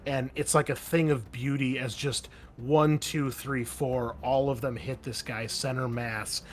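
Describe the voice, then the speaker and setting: A man's voice: mid-range voice, steady tone, a little slow, a little hiss or hum. A man running a tabletop roleplaying game as game master, narrating combat vividly in a loose, casual way.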